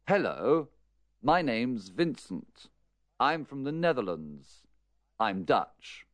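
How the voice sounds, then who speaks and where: An actor playing a cheerful Dutch driver in his late thirties, speaking with very slow, deliberate textbook delivery. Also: medium pitch, very animated, no echo. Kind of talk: scripted language course dialogue.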